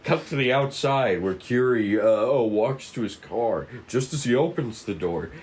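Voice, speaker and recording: A man's voice: mid-range voice, animated, measured pace, a little mellow. A man narrating stage directions at a casual script table read, informal and stumbling now and then.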